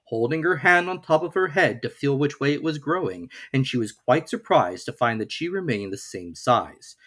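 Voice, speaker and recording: A storybook narrator with a lively, widely moving voice reads a children's classic. The delivery is theatrical and expressive, with an occasional small stumble over a word. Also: mid-range voice, moderate pace.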